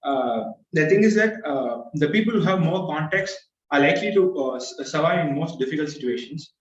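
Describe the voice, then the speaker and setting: A young man whose first language is Tamil talks through a prepared speech, stalling on fillers and restarting words. His voice sits a little high and comes across far from the mic in an echoey room. The video call audio is muffled and dull. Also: expressive, normal speed. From India. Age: young adult. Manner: hesitant, frequent uh fillers and restarts. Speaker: club member giving first speech.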